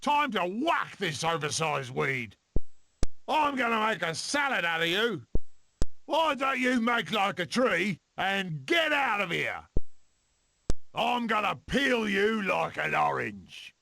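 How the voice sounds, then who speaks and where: Cocky weasel adventurer for a cartoon game, high bright voice that swoops all over, theatrical and boastful. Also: male voice, very animated, slow pace, creaky, slight background noise, heavily acted. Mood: defiant. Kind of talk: animated film video game voice clips.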